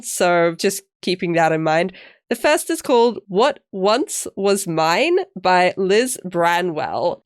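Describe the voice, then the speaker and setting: A woman's voice: medium pitch, smooth, dry recording, clean studio sound. A woman hosting a book discussion, talking casually as she chats about reading. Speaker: host of a book discussion video.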